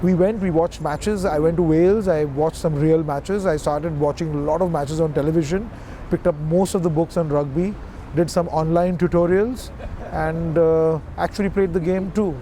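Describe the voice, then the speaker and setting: A man, a film director, describing his lead actor in an interview with hesitant pauses. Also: fairly high pitch, steady tone, dark tone, slight background noise. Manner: hesitant, many uh fillers.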